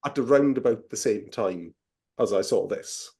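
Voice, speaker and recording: A man's voice: on the low side, normal speed, darkish tone. A man co-hosting a casual film podcast, swearing freely as he explains folklore at length. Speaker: podcast co-host.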